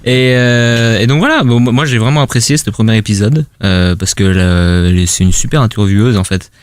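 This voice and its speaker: A man's voice: fairly low pitch, normal speed, smooth, no echo, studio quality. A young man co-hosting a podcast, presenting a recommendation hesitantly, with many fillers and the odd English word. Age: young adult. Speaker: podcast co-host. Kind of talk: casual chat.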